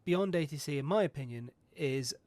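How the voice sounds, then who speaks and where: A man's voice: on the high side, lively intonation, brisk, a little mellow, dry recording. A man hosting a flight simulator video, chatting casually and rambling through a pre-flight checklist, swearing now and then and poking fun at himself. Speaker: flight sim video host. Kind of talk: casual talk over a flight sim checklist.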